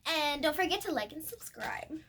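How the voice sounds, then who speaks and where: High-pitched, lively young girl reading aloud. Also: heavy background noise. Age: child. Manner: halting reading, repeats and corrects words. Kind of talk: storybook read-aloud with casual asides to viewers.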